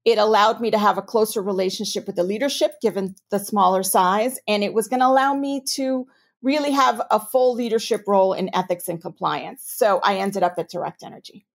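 A woman from New York with a New York accent, answering interview questions as a compliance officer in a measured, explanatory way. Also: mid-range voice, vocal fry. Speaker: chief ethics and compliance officer.

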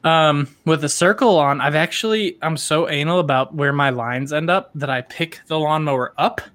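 A man's voice: fairly high pitch, moderate pace, a bit bright, no room sound. A man from the American South co-hosting a podcast, chatting casually and joking as he explains things at length. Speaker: podcast co-host.

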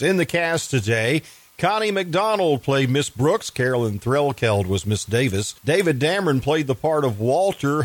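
Male radio host with middling pitch. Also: no room sound. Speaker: host, producer-director. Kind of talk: radio show announcements.

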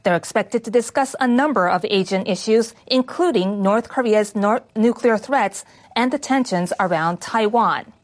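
A woman's voice: medium pitch, moderate pace. A woman reading scripted international news for radio as a newsreader. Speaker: news reader.

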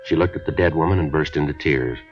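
An actor playing a terse police detective, narrating his case in a clipped, deadpan way on a 1950s radio drama. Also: rumbling low, fast, faint music behind. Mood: matter-of-fact. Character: terse, matter-of-fact male police sergeant. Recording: dull lo-fi 1950s radio broadcast.

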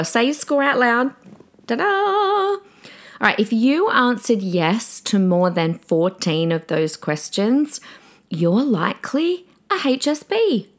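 A middle-aged woman hosting a podcast in a chatty, excitable way, often calling her listeners guys, her voice slightly croaky. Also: a little high. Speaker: podcast host; preacher. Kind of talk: casual podcast monologue.

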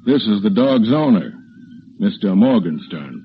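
Low-voiced, businesslike police lieutenant. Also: male voice, big pitch swings. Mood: matter-of-fact. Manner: measured, businesslike. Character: matter-of-fact police lieutenant explaining a case. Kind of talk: 1940s radio drama acting. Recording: muffled, noisy 1940s radio recording.

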